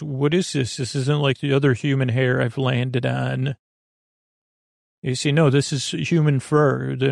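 A man hosting a bedtime podcast in a soft, gentle, rambling monologue, halting often and circling back as he loses his thread. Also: mid-range voice, creaky, no room sound, clean studio sound. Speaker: podcast host.

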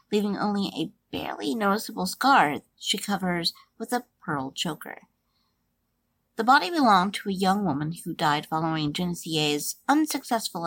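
A woman hosting a podcast, reading a steady plot recap and then chatting loosely with fillers. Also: fairly low pitch, breathy edge. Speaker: podcast host. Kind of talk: reading a plot summary, then casual commentary.

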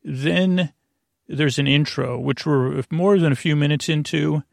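A man hosting a bedtime podcast in a creaky, lulling voice, meandering and halting through tangents and self-interruptions. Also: fairly high pitch, no room sound, studio quality. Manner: soothing, lulling. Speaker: podcast host. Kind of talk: rambling bedtime monologue to help listeners sleep.

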